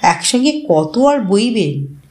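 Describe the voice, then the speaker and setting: A woman's voice: mid-range voice, takes it slowly. A woman voicing an eager, bashful young male first-time novelist in an audio story, humble and hesitant. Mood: inwardly excited. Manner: humble, hesitant, inwardly excitable.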